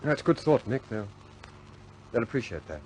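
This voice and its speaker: An actor playing an earnest, self-doubting young RAF squadron leader in a 1950s British war film, hesitant and understated. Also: male voice, medium pitch, big pitch swings, normal speed, a little mellow, slight background noise, close to an everyday voice, poor audio. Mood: troubled.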